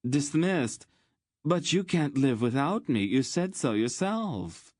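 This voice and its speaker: A man's voice: a little high, sing-song, takes it slowly, dry recording, clean studio sound, pitched above the performer's own voice, full character voice. Man doing a petulant, whining spoiled young man. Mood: incredulous, indignant.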